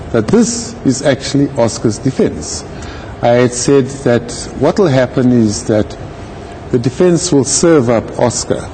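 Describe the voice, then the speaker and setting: A man speaking as a legal commentator in an interview, with a measured, deliberate manner and formal wording. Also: mid-range voice, warm tone, slight background noise, low-quality recording. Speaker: legal commentator, retired judge. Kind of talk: live interview commentary on a court case.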